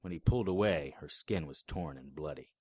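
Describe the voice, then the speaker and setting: Man, deep voice, first-person radio drama narration, wry, dry close recording. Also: a little fast, darkish tone, breathy edge, no echo. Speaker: narrator and lead actor.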